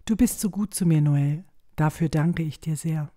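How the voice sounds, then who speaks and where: A woman playing a gentle, reassuring village mother with a soothing, measured delivery in a fantasy audio drama. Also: low voice. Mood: grateful, fond.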